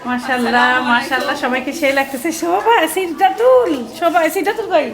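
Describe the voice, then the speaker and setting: Casting: Bangladeshi woman vlogger, brisk and high voice, chatty home talk, clatter of household noise around her. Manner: chatty, coaxing, asks many questions. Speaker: family vlogger, host. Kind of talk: casual home vlog chat with relatives and children.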